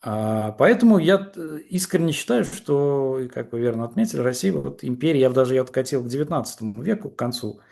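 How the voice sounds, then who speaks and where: A man speaking as a guest in a political conversation, making his case in a halting, argumentative way with many fillers and restarts. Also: medium pitch, lively intonation, vocal fry, mellow.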